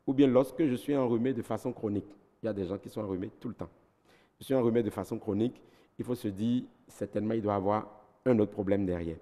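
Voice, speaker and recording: A man's voice: lowish voice, quick pace, dark tone, long reverb tail. Beninese man explaining health advice. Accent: Beninese French. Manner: didactic, lists points methodically. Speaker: ENT doctor guest. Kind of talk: health advice on a TV talk show.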